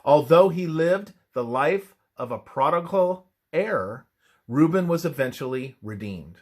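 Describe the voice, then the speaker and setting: A man reads a scripted religious text aloud as devotional narration, in a measured, emphatic scripture-reading manner. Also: a little high, animated, a little mellow.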